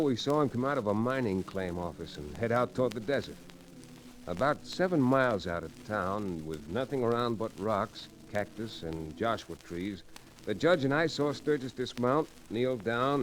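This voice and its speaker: An actor playing an easygoing, wry cowboy hero who also narrates an old-time radio Western. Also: male voice, medium pitch, close to an everyday voice, poor audio. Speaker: lead character and narrator. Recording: old radio recording with faint noise.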